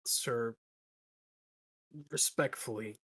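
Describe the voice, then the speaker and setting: Man, tabletop player, medium pitch, earnest, clipped phrases, in-character improvisation, dry recording. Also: drawn-out words, a bit bright, slightly rough, no echo. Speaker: player in a roleplaying game.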